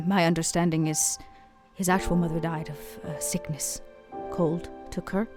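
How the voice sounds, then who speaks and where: A woman's voice: lowish voice, fairly even intonation, a little slow, music in the background, lightly acted. A woman playing a weary, proud club owner in a tabletop roleplay, speaking in a theatrical, hesitant way. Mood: somber.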